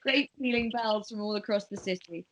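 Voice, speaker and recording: A woman's voice: mid-range voice, moderate pace, rough recording. A woman narrating a table read, reading stage directions aloud and at times stumbling over words.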